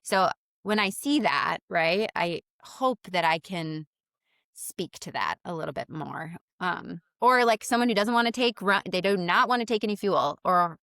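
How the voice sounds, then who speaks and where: Lady in her 30s chatting on a podcast, lowish voice, dry close mic, lots of likes and ums. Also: lively intonation, moderate pace, fairly bright tone, no echo. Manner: chatty, fillers like "like" and "um", laughs easily. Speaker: registered dietitian guest. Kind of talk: casual podcast conversation.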